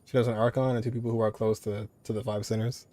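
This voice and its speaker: A man's voice: lowish voice, flat delivery, fast talker, dark tone. Male streamer, offhand gameplay commentary. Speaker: streamer reacting to a game.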